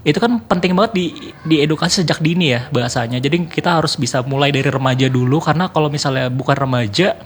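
A man's voice: on the high side, fast, a little hiss or hum. A man, giving explanatory, point-by-point answers as a radio interview guest. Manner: explanatory, orderly, enumerating points. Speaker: youth organization board member, radio guest.

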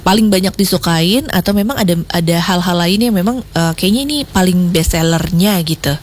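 A woman's voice: fairly low pitch, brisk. A woman hosting a casual radio interview in Indonesia, asking long rambling questions full of fillers. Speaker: radio host.

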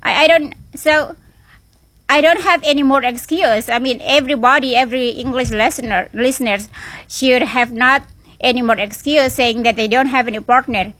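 A woman hosts a relaxed radio chat in English. Her voice sits a little high, and she keeps encouraging her guest. She talks loosely, restarting phrases and filling the gaps as she goes. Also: moderate pace, no room sound. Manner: chatty and encouraging, many restarts and fillers. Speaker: radio show host. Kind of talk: casual on-air chat with a guest.

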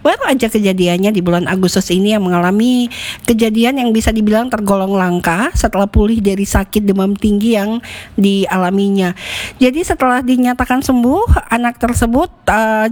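A woman radio host with a medium-pitched voice. She chats casually and informally, often turning directly to her listeners as she talks. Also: a little mellow. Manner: chatty, addresses listeners often.

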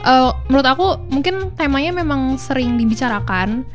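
A woman's voice: high-pitched, expressive, fairly quick, faint music behind. A young woman guest, enthusiastic, recalling a favorite class and mixing English words into her talk. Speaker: guest, past contestant. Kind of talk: casual chat.

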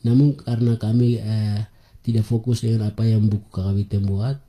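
A young man in his twenties speaks with a very dark, mellow tone. He is a guest in an interview, pausing often on filler sounds and laughing between points. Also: fairly low pitch, steady tone. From Papua highlands, Indonesia. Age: 20s. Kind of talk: conversational interview.

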